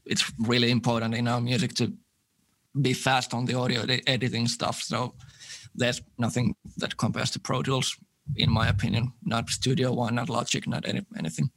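A man's voice: medium pitch, normal speed. Man, mid-range voice, easygoing guest who chuckles between answers. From Finland. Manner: relaxed, laughs easily, detailed gear talk. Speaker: guest musician. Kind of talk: casual interview talk.

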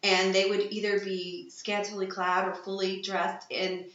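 A woman from Texas, a burlesque performer, chatting as an interview guest with enthusiastic bursts of excitement. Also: mid-range voice, measured pace. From Texas, USA. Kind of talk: casual interview chat. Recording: echoey room, dull lo-fi.

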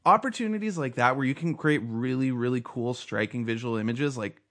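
A man's voice: medium pitch, a little fast, a bit bright. A man hosting a casual chat about film news, talking with many fillers and restarts.

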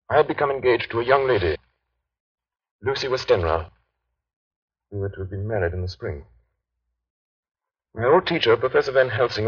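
An actor playing an earnest young doctor, giving a formal, measured account in a 1930s radio drama. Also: male voice, on the low side, husky. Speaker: actor, narrator. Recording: muffled old 1930s radio broadcast.

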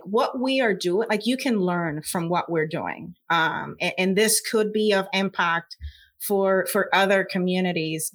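A woman's voice: fairly low pitch, fairly bright tone. A woman from Puerto Rico with a Puerto Rican accent, a scientist speaking earnestly in an interview, with frequent fillers. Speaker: scientist guest. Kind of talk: conversational interview.

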